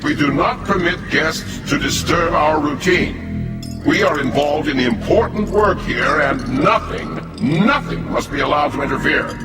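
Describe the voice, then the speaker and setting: Male actor as sanctimonious jailer, raised voice with wide emphatic swings, officious and threatening, loud music behind. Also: on the high side, unhurried, echoey room, close to an everyday voice. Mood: officious, menacing. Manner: declamatory, officious, sharply emphatic. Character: stern, sanctimonious male prison warden, rigid and menacing. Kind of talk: scripted video game acting.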